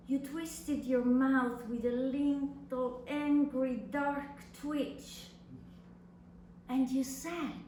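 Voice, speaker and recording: A woman's voice: high-pitched, fairly bright tone, exaggerated voice. A woman performing a theatrical monologue as an incredulous grown daughter, dramatic and exclaiming, lingering on words. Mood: agitated amazement. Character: incredulous grown daughter reading her mother's secret love letters. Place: echoey room at a live public reading. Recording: echoey room from far off, faint noise.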